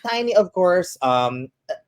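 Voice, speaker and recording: A woman's voice: on the low side, big pitch swings, unhurried. A woman hosting an interview, asking friendly questions with frequent fillers and hesitations. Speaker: host.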